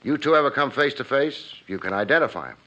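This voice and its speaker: Sharp, wisecracking American radio detective. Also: male voice, medium pitch, lively intonation, fast. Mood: grave, shrewd. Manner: wry, clipped hard-boiled delivery. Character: wisecracking, cool-headed male private investigator. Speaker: lead actor and narrator. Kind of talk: 1950s radio detective drama acting. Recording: muffled old radio broadcast, faint music.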